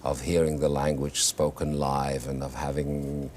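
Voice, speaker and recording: An exceptionally deep, low-pitched male voice talks thoughtfully, pausing and restarting as he gathers his words, then landing dry, wry punchlines. He is a German-born actor and singer reminiscing as an interview guest. A faint hiss runs under the old studio recording. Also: level tone, a little mellow. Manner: thoughtful, halting with many restarts, wry humor. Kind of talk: reflective conversational storytelling.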